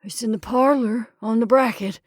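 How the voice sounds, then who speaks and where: A woman's voice: a little high, normal speed, no echo, natural voice. Casting: female narrator as frightened elderly husband in a storybook, faltering and aghast, recorded dry. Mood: bewildered. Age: older. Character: fearful, tender old man, shaken husband and father.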